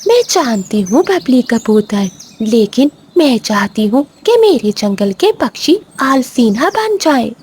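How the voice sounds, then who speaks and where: A woman plays a commanding eagle queen in a cartoon moral tale. Her voice is high and swings widely as she speaks firmly but with care for her birds. Faint chirping and noise sit behind her. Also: very animated, slow pace, few pauses, darkish tone. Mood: grave, firm. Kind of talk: animated moral-story cartoon dub.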